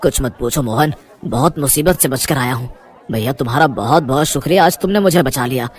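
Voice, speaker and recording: A man's voice: mid-range voice, a little fast, pitched below the performer's own voice, natural voice. A man voicing a rich, grateful older businessman in a cartoon moral story, friendly and emphatic. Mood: relieved.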